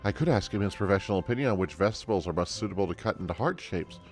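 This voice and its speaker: A man's voice: low voice, expressive, rapid-fire, soft background music. A man voicing a shy, polite villager from a fantasy game in a formal, awkward manner. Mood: shy, grateful. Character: shy, polite villager daunted by confessing love.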